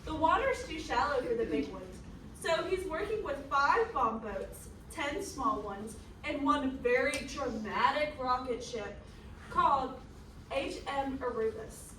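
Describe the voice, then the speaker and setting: A woman with a high, bright voice narrates a scripted comedy of history. She is theatrical and drops sardonic asides. The recording is roomy and distant. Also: animated, a little slow. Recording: roomy, distant mic, faint noise.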